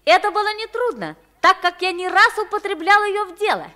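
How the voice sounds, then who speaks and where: Bright, high-voiced bold pauper boy. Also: big pitch swings, fairly quick, exaggerated voice. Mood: coaxing. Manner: frank, cheeky, unafraid. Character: bold, plain-spoken pauper boy, defiant. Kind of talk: Soviet radio play acting.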